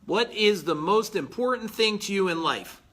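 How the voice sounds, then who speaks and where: A man in his forties giving a blunt, emphatic motivational talk as a business coach on a live stream, clearing his throat now and then. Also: high-pitched, animated, normal speed, fairly bright tone. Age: 40s.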